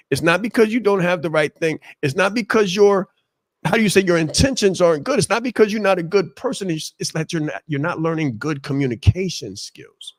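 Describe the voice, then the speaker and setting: Higher-pitched man with a dry, emphatic delivery. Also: no echo. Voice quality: naturally loud, projecting voice. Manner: emphatic and passionate, repeats phrases for emphasis. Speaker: leadership coach. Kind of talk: motivational talk on a live show.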